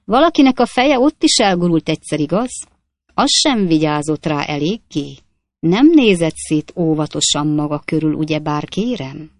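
A woman's voice: deep voice, lively intonation, no echo, clean studio sound. A woman voicing a boastful, talkative male swindler who speaks in short, clipped fragments. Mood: lurid storytelling. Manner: clipped, breathless fragment sentences. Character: boastful chatty male swindler, young gent.

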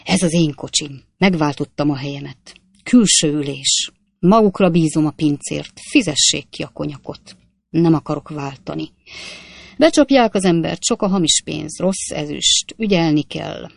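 A woman's voice: low-pitched, gravelly, dry recording. A woman voicing a boastful, talkative male swindler who speaks in short, clipped fragments. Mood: boasting. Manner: clipped, breathless fragment sentences. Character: boastful chatty male swindler, young gent.

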